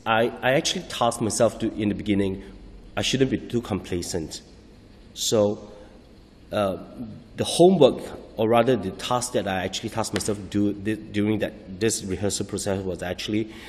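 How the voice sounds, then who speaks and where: Male actor, low voice, hesitant restarts, long hall echo. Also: darkish tone. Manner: halting, searching for words, frequent restarts. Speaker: stage actor. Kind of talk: interview answers.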